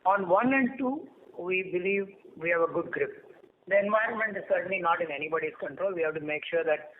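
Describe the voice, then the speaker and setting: A tinny conference line with faint noise carries a man with an Indian accent and a somewhat high voice. He answers like a senior banker, laying out his points in a measured, orderly way. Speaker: senior bank executive answering analysts. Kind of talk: earnings call answers. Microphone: telephone conference line.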